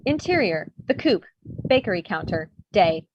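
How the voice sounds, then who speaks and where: A woman's voice: mid-range voice, big pitch swings, normal speed, highs cut off, background noise. A woman reading screenplay stage directions as scripted narration for a table read. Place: indoors, remote virtual table read.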